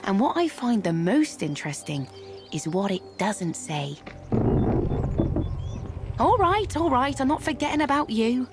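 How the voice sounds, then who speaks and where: Wry, worldly herbalist over music. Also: female voice, on the high side, sing-song, measured pace, pauses often. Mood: curious, wry. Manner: wry, musing, theatrical. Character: wry, worldly female herbalist and fortune-teller. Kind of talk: video game cutscene acting.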